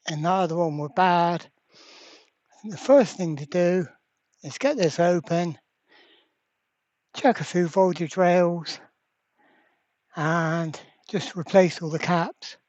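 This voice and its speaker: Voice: mid-range voice, a little mellow, no room sound, highs cut off. A repair vlogger giving casual, hands-on narration, thinking aloud in a blunt, exasperated way. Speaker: host of a radio repair channel.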